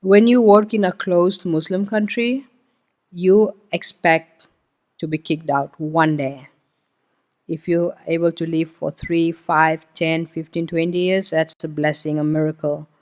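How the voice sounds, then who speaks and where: A woman's voice: low-pitched, fairly even intonation, measured pace, a little mellow. A woman whose mother tongue is Hindi gives a missionary testimony at a church service. Her talk is loose and conversational, with plenty of "um"s and "uh"s. She stops now and then to point things out on a map for the congregation. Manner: informal, explains while pointing at a map, frequent "um" and "uh". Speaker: missionary guest speaker.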